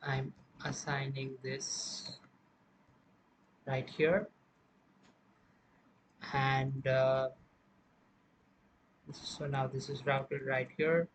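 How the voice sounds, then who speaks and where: A man presenting an informal music production software tutorial, explaining step by step in a halting way. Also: mid-range voice, level tone, a little hiss or hum, poor audio.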